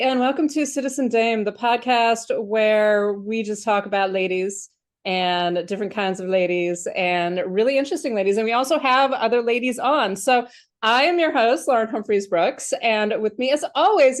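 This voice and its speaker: A woman's voice: on the high side, normal speed. A woman in her thirties hosting a casual podcast, chatting with restarts and fillers and laughing often. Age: 30s. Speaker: podcast host.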